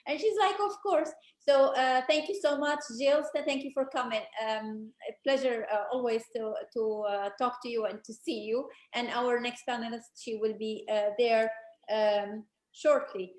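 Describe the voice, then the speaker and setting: A woman moderates a panel in a somewhat high voice, talking in a chatty, rambling way full of fillers. Her voice carries a noticeable room echo. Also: steady tone, normal speed. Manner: warm, chatty, many fillers.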